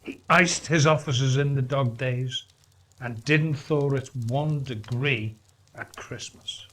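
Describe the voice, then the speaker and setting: A man reading a story aloud as a dramatic narrator, with deliberate, theatrical emphasis. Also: medium pitch, a bit bright. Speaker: story reader.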